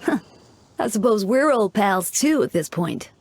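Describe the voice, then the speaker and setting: A woman's voice: mid-range voice, expressive, unhurried, a little hiss or hum. A woman voicing a devout young male church deacon in a video game, composed and dryly teasing. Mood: thoughtful. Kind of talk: English video game voice acting.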